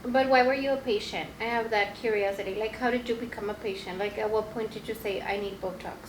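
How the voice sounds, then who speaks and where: A woman's voice: medium pitch, fairly even intonation, fast. A woman whose first language is Spanish, co-hosting a casual podcast and reflecting on work, full of "like" and "you know". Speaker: podcast co-host. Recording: echoey room with faint noise.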